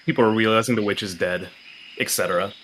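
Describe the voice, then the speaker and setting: A man's voice: fairly low pitch, fast, a bit bright, a little hiss or hum. A man talking casually and slangily as a player in a tabletop game. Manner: informal, slangy, swears casually. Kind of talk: casual chat.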